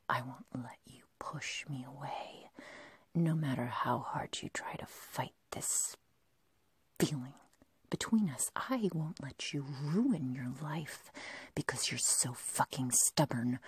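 A woman's voice: low-pitched, full character voice. A woman playing an obsessive, lovestruck yandere girl kidnapper in a whispered, intimate, possessive ASMR roleplay. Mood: hurt, possessive. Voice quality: breathy, whispery. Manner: slow, intimate, halting, possessive.

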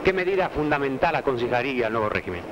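A man's voice: medium pitch, animated, very fast. A young man with an Argentine accent doing formal, insistent vox pop interviews as a street reporter on old news film. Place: outdoors on a busy city street. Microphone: handheld microphone. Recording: dull noisy 1970 archive newsreel audio.

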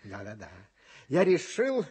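Genial radio mentor, highish pitch, very animated melody, theatrical, playfully earnest, children's comedy. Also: male voice, unhurried, slightly rough, heavily acted. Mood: confiding, hesitant. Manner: theatrical, expressive delivery. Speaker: host of a children's radio show.

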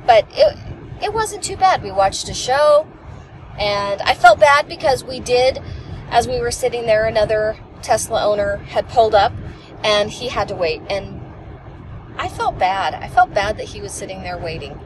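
A woman's voice: fairly high pitch, lively intonation, normal speed, low-quality recording. A woman in her forties, a passenger telling a rambling road-trip story in casual chat, recorded from the dashboard of a moving car. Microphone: camera on the dashboard. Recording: clear road noise in a car.

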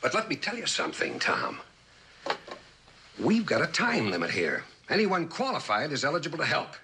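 An actor playing a stern, commanding older general with an American accent in a mid-century television drama, speaking in a blunt, deliberate way. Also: male voice, fairly high pitch, huge pitch swings. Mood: stern, admonishing. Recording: old television soundtrack with faint hiss.

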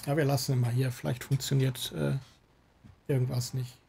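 Man, gravelly fry, mellow tone, casual livestream host, gaming chat. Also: mid-range voice, level tone, moderate pace. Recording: faint background noise.

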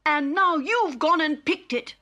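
A woman's voice: animated, a little slow, pushed and bright, full character voice. A woman doing an eager little robot boy. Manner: high, eager and pleading. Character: small male robot, eager and excited.

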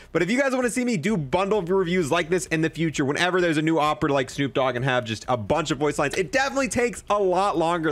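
A young man giving casual, slangy, excitable commentary as he reviews game content. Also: a little high, no room sound. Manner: excitable, slangy bro-and-dude talk. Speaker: gaming video creator.

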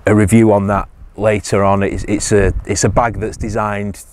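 A man's voice: lowish voice, level tone, normal speed, a little mellow. A man, casually reviewing survival gear outdoors on a hillside, hesitant with many ums and restarts.

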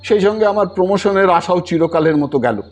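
A man's voice: sits high, lively intonation, a little fast, soft background music. A man narrating a scripted story in a theatrical, dramatic manner, acting out the dialogue as he goes. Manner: theatrical, acts out characters' dialogue.

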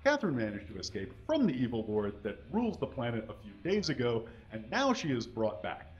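A man giving a live comedic reading of fan fiction on a small stage, mostly dramatic with deadpan asides, reading punctuation aloud. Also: medium pitch, a little fast. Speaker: comedic reader. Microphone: stand mic. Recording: dull, lo-fi live recording.

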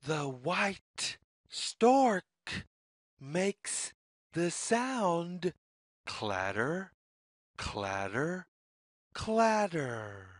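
A storyteller reading a children's read-along story in deliberate, sing-song phrases with pauses. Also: medium pitch, big pitch swings, no room sound, clean studio sound. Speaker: read-aloud narrator.

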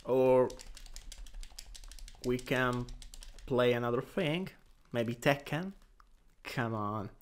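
A man's voice: mid-range voice, very slow, long pauses, clean tone. A man with an Italian accent playing a playful, teasing boyfriend in an improvised ASMR roleplay. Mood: relaxed, teasing. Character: playful, teasing, excitable young boyfriend watching anime.

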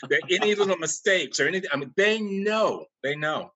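Bright-voiced man on a video call. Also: sits high, lively intonation, brisk, lo-fi sound. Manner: rambling, many restarts and fillers. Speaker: dialogue coach and actor, guest. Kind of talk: casual interview over video call. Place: home room indoors. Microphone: video call webcam.

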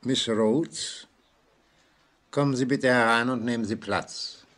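Calm formal police inspector, 40s radio play, medium pitch, crackly tinny recording. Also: male voice. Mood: calm, guarded. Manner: measured, formal. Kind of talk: 1940s radio drama acting. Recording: crackly metallic 1949 mono radio recording.

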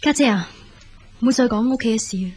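Woman acting as young younger sister, radio drama, Cantonese, high-pitched, tentative, earnest, grateful, deferential. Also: quick pace, a little mellow, a little hiss or hum, lightly acted. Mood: uneasy.

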